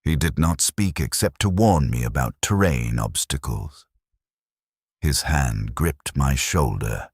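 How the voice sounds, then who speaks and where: Male dramatic narrator: very low register, widely moving intonation, grave and deliberate first-person storytelling, close dry sound. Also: expressive, slightly rough, no room sound. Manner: grave, measured, short clipped sentences.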